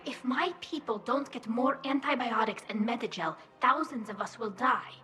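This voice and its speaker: Actress as female alien, higher pitch, urgent, earnest, pleading, video game, faint music. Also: normal speed, close to an everyday voice. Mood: desperate, frustrated. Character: earnest, desperate young female alien pleading for her people.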